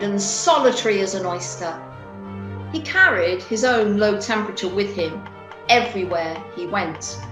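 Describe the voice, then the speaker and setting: A woman tells a story as the narrator of a radio play. Her pitch is middling and her delivery theatrical, with emphasis on key words. The room rings with echo. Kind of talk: dramatic scripted storytelling. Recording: echoey room.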